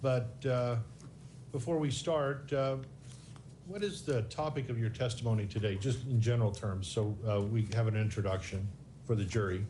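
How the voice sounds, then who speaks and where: A man questioning a witness as a lawyer in a formal deposition, halting and polite. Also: mid-range voice, mellow. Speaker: plaintiff's lawyer. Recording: faint background noise, video call.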